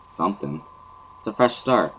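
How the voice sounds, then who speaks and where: A man's voice: low voice, expressive, moderate pace, fairly bright tone, a little hiss or hum, poor audio. A man giving a somber, weary first-person dramatic reading of a visual novel as narrator.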